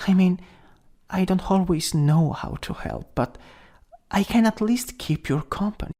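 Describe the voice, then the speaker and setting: A young man acting a caring, worried best friend in an ASMR roleplay, gentle, hesitant and intimate. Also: sits high, a little slow. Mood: earnest, comforting.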